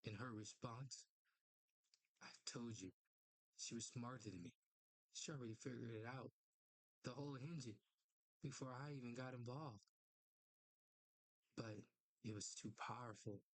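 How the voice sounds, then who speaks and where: A young adult with a medium-pitched voice reads a comic aloud and reacts to it casually, with slangy, profane, excitable commentary. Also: measured pace, pauses often, no room sound, rough recording. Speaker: comic read-along host and commentator. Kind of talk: read-along with casual live reactions.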